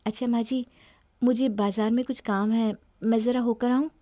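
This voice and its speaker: A woman voicing a gentle, devoted young daughter-in-law with self-respect in a cartoon family story. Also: fairly high pitch, steady tone, moderate pace, no room sound, studio quality, lightly acted. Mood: sweet, respectful. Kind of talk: Hindi cartoon moral-story voice acting.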